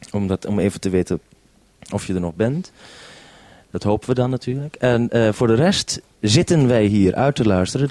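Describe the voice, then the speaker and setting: A man's voice: lowish voice, mellow, no room sound. A man talking over a two-way radio link as a programme maker, hesitant and considerate, handing over as he goes. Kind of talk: two-way radio conversation with handovers.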